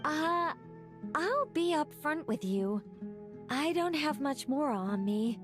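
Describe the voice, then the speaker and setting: A woman's voice: sits high, very animated, slow pace, over music, heavily acted. A woman voicing a playful, boastful young angel woman in a video game, with theatrical delivery. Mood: sheepish. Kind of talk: scripted video game voice acting.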